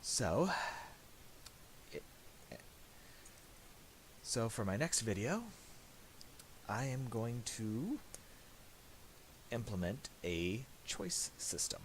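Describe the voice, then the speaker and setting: A man presenting a casual software tutorial in a halting, mumbled way, on a hissy home recording with clicks. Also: fairly low pitch, very animated.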